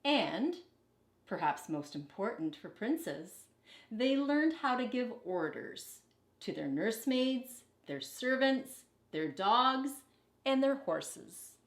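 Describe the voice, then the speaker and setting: In an echoey room, a woman reads a picture book to children as a teacher would. She takes her time, shaping each phrase expressively at an unhurried pace, and pauses to explain things to young listeners. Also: a little high, big pitch swings. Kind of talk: children's storybook read-aloud with brief teaching asides.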